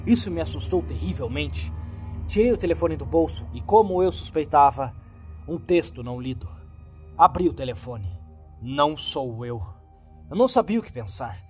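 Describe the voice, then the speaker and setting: Male horror narrator, Brazilian accent, higher-pitched voice, suspenseful dramatic delivery, music underneath. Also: lively intonation, moderate pace, husky. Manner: suspenseful, acts out the lines.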